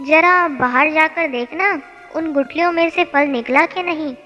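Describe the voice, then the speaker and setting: कहानी में एक महिला धैर्यवान, स्नेही बुज़ुर्ग गुरुजी का किरदार बोल रही है, जो पिता की तरह ठहरकर समझाते हैं।. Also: female voice, high-pitched, a little slow, pushed and bright, lo-fi sound. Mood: calm. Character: patient, gentle old male guru teaching a lesson. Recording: long hall reverb, music bed.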